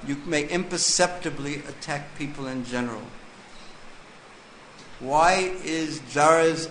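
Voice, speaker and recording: Male scripture teacher, slightly high voice, very slow and deliberate delivery, echoey room. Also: a bit bright, slight background noise. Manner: slow, deliberate, reads text then explains. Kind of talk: scripture lecture.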